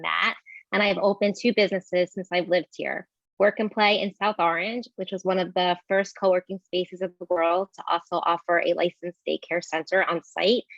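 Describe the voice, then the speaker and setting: A woman in her forties gives a steady, earnest prepared opening statement as a debate candidate. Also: medium pitch, no echo, muffled recording.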